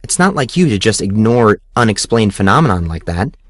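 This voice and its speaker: A man's voice: fairly low pitch, very animated, brisk, no room sound, studio quality. A man voicing a sarcastic, easily exasperated teenage tomboy girl in an audiobook story. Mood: wry.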